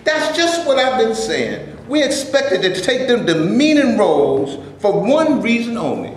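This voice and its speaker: Man acting an ambitious, resentful dreamer, high-pitched and echoey. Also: big pitch swings, a little slow, full character voice. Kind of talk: staged reading of a stage play. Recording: echoey room, distant mic, faint noise.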